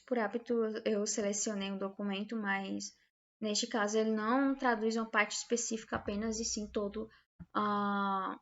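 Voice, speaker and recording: A woman with a Brazilian accent giving an informal software tutorial as an instructor, explaining hesitantly and often checking that learners follow. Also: mid-range voice, fairly even intonation, normal speed, highs cut off. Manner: hesitant, explanatory, checks understanding with short tags.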